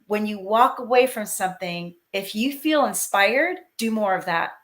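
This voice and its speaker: A woman's voice: mid-range voice, lively intonation, normal speed, a bit bright. A woman mentor giving earnest, encouraging advice in a casual panel chat over a video call. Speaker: mentor expert.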